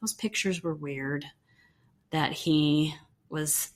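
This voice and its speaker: Woman co-host with a lowish voice. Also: slow pace. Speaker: podcast co-host. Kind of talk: casual chat recapping TV.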